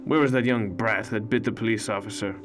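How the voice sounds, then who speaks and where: A man voicing a gruff defiant grandpa. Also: fairly low pitch, fairly even intonation, a little fast, music in the background, close to an everyday voice. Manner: gruff, teasing.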